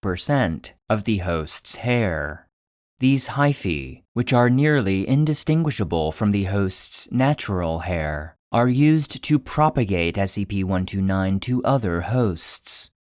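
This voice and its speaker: A man narrating a scripted fictional case file for a podcast in a measured, serious, clinical manner. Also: fairly low pitch, big pitch swings, no echo, clean studio sound.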